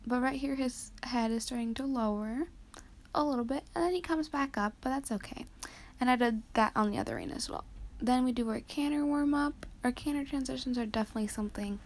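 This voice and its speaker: A teenage girl from Canada talking softly over her riding footage, explaining each step with frequent hesitant ums. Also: fairly high pitch, gravelly. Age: teens. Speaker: vlogger.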